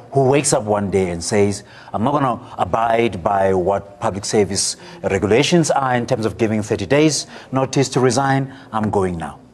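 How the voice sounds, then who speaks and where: A middle-aged man, giving measured analysis as an academic on a studio panel. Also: fairly low pitch. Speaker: political analyst, professor.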